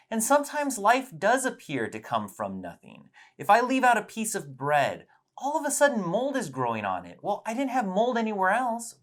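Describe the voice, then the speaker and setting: A man's voice: a little high, fairly bright tone. A man presenting a science lesson as storytelling, explaining things simply and with emphasis.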